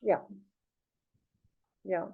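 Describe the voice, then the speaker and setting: A woman chatting casually as a podcast co-host, musing in a reflective way. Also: drawn-out words. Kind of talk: casual unscripted conversation. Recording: thin phone-like audio.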